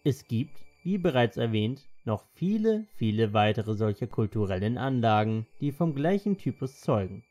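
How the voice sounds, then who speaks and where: A man narrates from a documentary script. His voice is dark and mellow at an average male pitch, with faint music playing behind it. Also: sing-song, normal speed, hardly any pauses.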